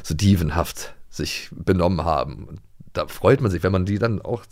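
A man's voice: deep voice, normal speed, gravelly, a little mellow. A man co-hosting a podcast in an informal, agreeable, jokey way. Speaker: podcast co-host. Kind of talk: casual podcast chat.

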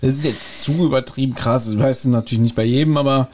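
A man's voice: mid-range voice, fast, a little mellow, a little hiss or hum. A man co-hosting a podcast in casual chat, rambling with restarts and laughing easily. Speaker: podcast co-host.

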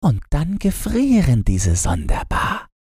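A man voicing a kindly old grandmother who tells winter tales in a slow, hushed storytelling manner. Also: fairly high pitch, wildly swooping pitch, husky, dry recording, clean studio sound, pitched above the performer's own voice, exaggerated voice. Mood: gentle, mysterious.

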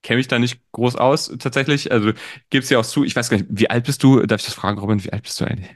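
A man in his late thirties hosts a podcast conversation, talking in a loose, rambling way with plenty of fillers and the odd joke. His pitch sits in a middle range. The recording is dry and close, with no room sound. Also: fast, dry recording. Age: 30s. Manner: rambling, joking, many fillers. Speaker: podcast host. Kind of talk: casual conversational interview.

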